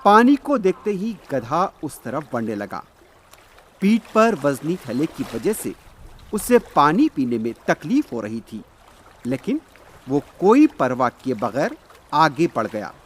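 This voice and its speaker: A man narrating a scripted children's fable as a storyteller, expressive and deliberate. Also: a little high, slight background noise. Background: music.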